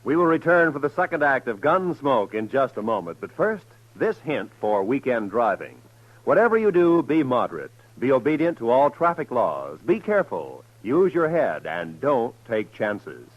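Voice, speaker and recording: A man delivers a 1950s radio announcement in a crisp, emphatic style. His pitch is lively and animated, and the old broadcast sounds muffled. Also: normal speed.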